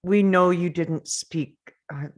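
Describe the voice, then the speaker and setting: A woman plays a conciliatory father, speaking slowly and with care. The delivery is halting, seeking understanding in a scripted drama. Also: fairly low pitch, fairly even intonation. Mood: careful, pleading. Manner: careful, halting. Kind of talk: scripted drama table read.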